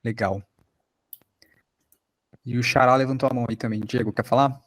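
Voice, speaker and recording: A man's voice: mid-range voice, fairly even intonation, moderate pace, no echo. A Brazilian man teaching a podcast workshop in an informal, conversational way over an online video call.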